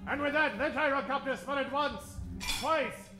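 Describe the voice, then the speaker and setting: A man's voice: very high voice, normal speed. A man narrating an old-time radio adventure serial in a dramatic, suspenseful and theatrical manner. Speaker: narrator and announcer. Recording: roomy stage sound, faint music behind.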